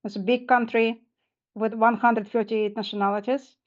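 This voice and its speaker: A woman from Kazakhstan, speaking as a panelist in halting English with phrasing. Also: fairly high pitch, little pitch movement. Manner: halting, second-language phrasing. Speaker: panelist, finance mentor. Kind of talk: online panel talk. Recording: dull lo-fi video-call audio.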